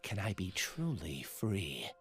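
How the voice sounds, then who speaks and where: A solemn, withdrawn game researcher. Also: male voice, low voice, wildly swooping pitch, slow, full character voice. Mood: resigned farewell. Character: solemn, withdrawn male researcher drawn into a hive mind. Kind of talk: dramatic video game dialogue.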